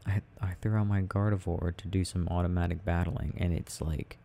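A man murmuring haltingly to himself in an ASMR style as he rambles while playing a video game. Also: low voice, flat delivery, dark tone. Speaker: audio performer playing a boyfriend gaming.

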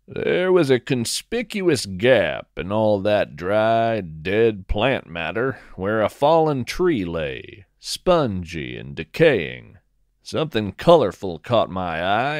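A man hosting a scripted fiction podcast, narrating as a musing field naturalist in a measured, folksy storytelling manner. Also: fairly low pitch, no room sound, clean studio sound. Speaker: podcast host.

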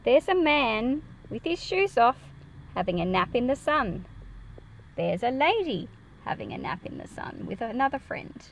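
Aussie woman doing a kids picture book readaloud, animated, explains stuff and asks questions, highish voice. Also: gravelly, low-quality recording. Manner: expressive, explanatory, addressing young listeners with questions. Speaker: read-aloud presenter teaching children. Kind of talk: picture book read-aloud with explanations for children.

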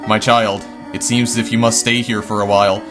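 A man voicing a gentle, motherly goat-woman queen who speaks softly and politely, with music in the background. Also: lowish voice, little pitch movement, fairly quick, pitched below the performer's own voice, natural voice. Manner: soft, polite, caring.

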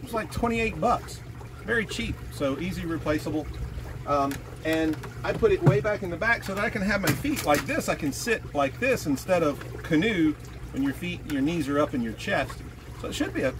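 A man in his sixties casually demonstrating boat motors, talking in a lively, rambling way and correcting himself, recorded outdoors on a small boat by a mounted camera. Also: fairly high pitch. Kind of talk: casual product demo. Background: motor and water noise.

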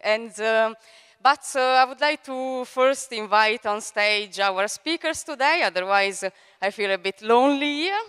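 Italian-accented woman host, hesitant with fillers, crisp voice, echoing hall. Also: on the high side, measured pace. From Italy. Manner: hesitant, many fillers, warm thanks. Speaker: event director and host. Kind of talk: conference introduction speech. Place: large event hall on stage. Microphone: handheld microphone. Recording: long hall reverb.

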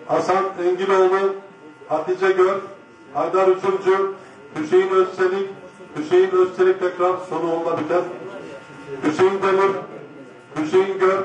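A middle-aged man formally reading out a list in short, separate, monotone phrases. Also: sits high. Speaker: official reading out names. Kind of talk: formal roll call of names. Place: large conference hall, standing on stage. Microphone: handheld microphone. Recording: echoey hall PA from far off.

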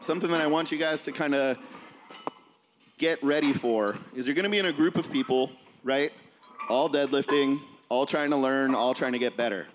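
A man's voice: medium pitch, normal speed, slight background noise. A man leading an informal live gym seminar as a coach, casual and motivational, talking to a group. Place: large indoor gym, speaking to seated group. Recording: reverberant gym hall, distant camera.

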